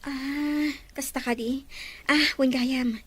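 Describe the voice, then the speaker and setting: A woman's voice: sits high, steady tone, slow pace. A woman with an Ilocano Filipino accent playing a friendly, chatty acquaintance in a family drama. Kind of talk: acted family drama dialogue.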